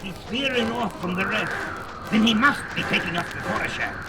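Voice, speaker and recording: An actor playing an aged, wry and stubborn wizard in a theatrical 1970s audio drama style. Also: male voice, high-pitched, brisk, lightly acted. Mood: excited. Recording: loud music under the voice.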